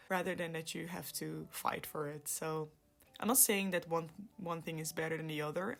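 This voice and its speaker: A woman from the Netherlands in her thirties, telling her personal story in a soft-spoken, reflective way, laughing often and sometimes trailing into a whisper. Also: fairly low pitch, creaky. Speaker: vlogger. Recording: light background music.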